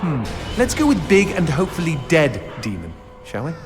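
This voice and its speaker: High, animated British demon sidekick. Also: male voice, normal speed. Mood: wry, chatty. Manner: theatrical, mock-posh, wry. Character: chatty, witty, genteel male demon sidekick. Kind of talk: video game cutscene acting. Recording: reverberant, loud game music behind.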